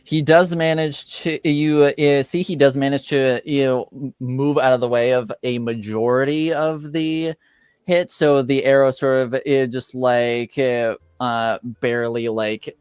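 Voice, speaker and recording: A man improvising tabletop game narration as game master, in a hesitant, halting way with long pauses. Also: medium pitch, level tone, a little mellow, clear, resonant tone, no echo, clean studio sound.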